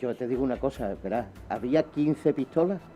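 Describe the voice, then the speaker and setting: A man's voice: mid-range voice, lively intonation, fairly quick, mellow. A man co-hosting a radio show, chipping in with casual, colloquial remarks. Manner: colloquial, interjecting. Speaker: radio co-host. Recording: dull lo-fi radio audio, music underneath. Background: background music.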